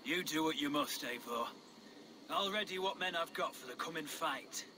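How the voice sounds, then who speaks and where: Casting: male actor for plain-spoken Saxon rebel leader in a video game, higher male register, grim resolve. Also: animated, normal speed, background noise, lightly acted. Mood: determined. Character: blunt, earthy Saxon rebel leader, grimly determined.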